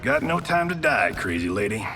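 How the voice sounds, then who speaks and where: An actor playing a cocky, flamboyant action-movie star in a video game cutscene, breezy and boastful. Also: male voice, medium pitch, sing-song, moderate pace, loud music behind the voice. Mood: defiant. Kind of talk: cinematic game cutscene acting.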